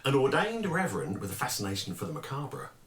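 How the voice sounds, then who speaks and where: A man's voice: medium pitch, wildly swooping pitch, fast talker, a bit bright. A man hosting a podcast interview, introducing and chatting with a guest. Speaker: podcast host. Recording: echoey room, distant mic.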